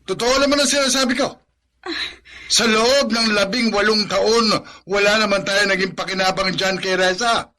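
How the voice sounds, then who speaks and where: A man's voice: sits high, fairly even intonation, normal speed, bright, forceful tone. A man playing a harsh, scornful Filipino father in a radio drama, scolding bluntly and impatiently.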